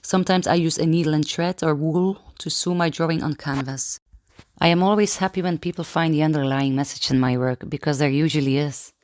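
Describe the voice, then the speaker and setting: A woman from Belgium, a painter calmly reading a personal statement about her work. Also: sits low, fairly quick.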